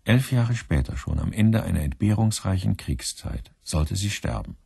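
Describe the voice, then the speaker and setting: A man's voice: low voice, very animated, moderate pace, vocal fry, a little mellow, husky, no room sound, studio quality. A man delivering scripted biographical narration for a radio programme.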